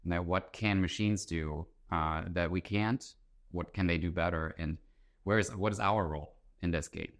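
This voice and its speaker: Low-voiced man explaining ideas. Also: moderate pace. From Germany. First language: German. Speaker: interview guest, author and entrepreneur. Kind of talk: conversational explanation.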